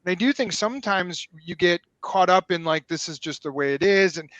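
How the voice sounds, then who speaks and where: A man from Chicago hosts a video interview through a headset mic. His voice rides high and lively as he jokes and chats. The sound is dry and a little dull. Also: brisk, no echo. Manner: animated, chatty, jokes. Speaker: podcast host. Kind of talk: casual interview chat.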